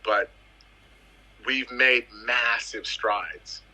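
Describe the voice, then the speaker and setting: A man's voice: mid-range voice, extremely slow, sharp tone, poor audio. A man in his thirties from the US Virgin Islands, a footballer telling his career story in a casual interview, emphatic and fond of repeating phrases. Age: 30s. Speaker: footballer guest.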